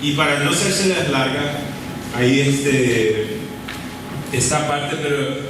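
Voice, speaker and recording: Slow, echoey man preaching in a church. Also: on the high side, noisy background. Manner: deliberate, repeats key phrases, short pauses with fillers. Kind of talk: sermon. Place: church sanctuary. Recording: echoey church hall from far off, with room ambience.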